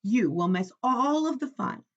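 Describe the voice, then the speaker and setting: A woman's voice: a little high, lively intonation, measured pace, lo-fi sound, voice pushed up. A woman voicing an eager, cheerful male frog in a children's storybook, coaxing and insistent. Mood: pleading. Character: eager, cheerful male frog urging his friend awake.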